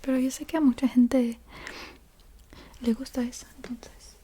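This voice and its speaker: A woman doing soft-spoken ASMR, casually rambling in a breathy whisper with a hesitant, playful manner and softly repeated words. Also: sits high, little pitch movement, unhurried. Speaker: ASMR creator.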